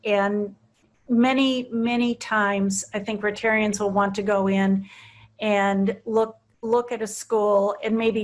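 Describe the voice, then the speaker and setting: A woman moderates a panel over a video call, asking questions and often restarting phrases as she thinks aloud. Her voice sits at an ordinary middle pitch.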